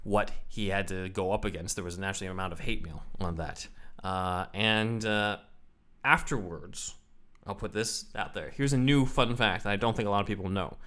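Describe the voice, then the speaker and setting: A man's voice: on the low side, moderate pace, a bit bright. A man hosting a casual podcast, explaining comic-book trivia with lots of fillers. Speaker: podcast host.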